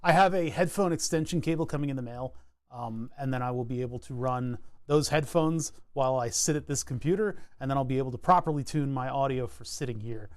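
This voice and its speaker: A man's voice: mid-range voice, brisk, no echo. Rambling man with a dry, close sound. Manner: rambling, thinks aloud, restarts sentences. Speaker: streamer. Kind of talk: casual livestream chat while designing a circuit board. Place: home computer desk.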